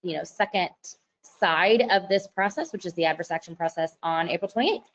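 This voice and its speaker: A woman lawyer presenting a compliance webinar from her company's office, speaking in a loud, conversational, explanatory way with frequent fillers and self-corrections. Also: on the low side, a little fast, dull audio. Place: office at company headquarters, heavy rain outside.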